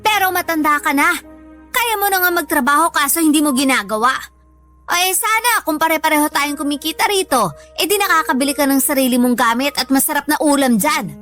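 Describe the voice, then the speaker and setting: A woman's voice: sits high, animated, a little fast, pushed and bright, faint music behind. A woman with a Filipino accent playing a long-suffering, reproachful older mother in a radio drama. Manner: plaintive scolding.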